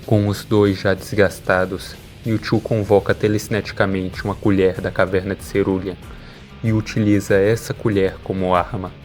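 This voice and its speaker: A man's voice: sits low, little pitch movement, moderate pace, a little mellow, soft background music. A man with a Brazilian accent reading dramatic scripted narration.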